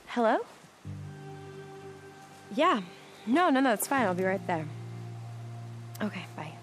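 A woman's voice: medium pitch, big pitch swings, slow, soft background music. An actress playing an affectionate young woman in a video game, with an American accent and a casual, teasing way of talking. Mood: apologetic, hurried.

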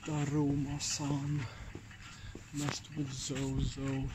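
A man talks slowly to his camera in a hushed, halting voice and stumbles over fillers and restarts. He sits mid-pitched for a man. Loud outdoor noise from a rural spot runs under him the whole time. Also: completely flat delivery. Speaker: paranormal vlogger. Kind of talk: casual vlog talk.

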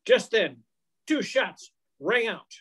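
An older man narrates a radio mystery from a script. His voice is high for a man. The delivery follows old-time radio storytelling, captured on a video call. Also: big pitch swings, slow pace, heavy background noise. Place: home room, video call. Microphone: computer mic on a video call.

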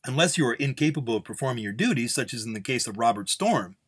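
A man voicing a defiant, sharp-tongued nun in a Western story, blunt, curt and commanding. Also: medium pitch, expressive, fast talker, slightly rough, no echo, clean studio sound. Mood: firm, guarded.

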